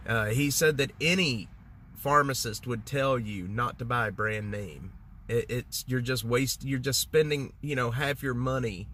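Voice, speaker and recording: A man's voice: mid-range voice, pushed and bright, slight background noise. A man, congested, chatting casually on a livestream in a rambling way with lots of fillers and restarts. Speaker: livestream host. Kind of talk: casual livestream chat with viewers.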